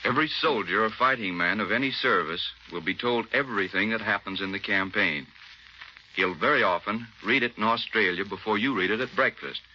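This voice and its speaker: Very forceful, low-voiced American army officer. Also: male voice, fairly quick. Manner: clipped, matter-of-fact. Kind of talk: scripted 1940s radio interview. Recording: muffled 1940s radio, faint noise.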